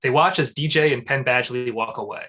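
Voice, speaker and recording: Bright, husky-voiced man narrating. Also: medium pitch, fairly quick. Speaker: stage directions reader. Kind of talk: scripted narration.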